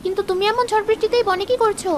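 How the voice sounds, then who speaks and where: A woman voicing a tiny, gentle flower fairy in a children's cartoon, sweet and appealing. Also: very high-pitched, fairly even intonation, very fast, slight background noise. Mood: pleading. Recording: music under the voice.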